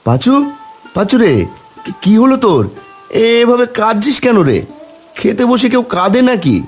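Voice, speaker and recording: A man's voice: mid-range voice, big pitch swings, fairly quick, a little mellow, breathy edge, soft background music. A man playing a poor, weary but caring village husband in a cartoon, speaking in a dramatic, exclaiming way. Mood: concerned. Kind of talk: Bengali cartoon voice acting.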